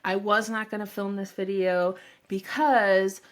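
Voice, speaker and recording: A woman's voice: medium pitch, little pitch movement, a little slow. A middle-aged woman with a head cold, rambling shyly through the intro of her home vlog, with many fillers and asides, recorded on her own microphone in her home office. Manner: shy and nervous. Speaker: vlogger introducing her channel. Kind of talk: casual talk to camera.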